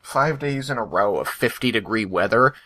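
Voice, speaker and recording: A man's voice: on the low side, lively intonation, normal speed, sharp tone. A man hosting a casual podcast, bantering with friends in a joking, self-mocking way. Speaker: podcast host.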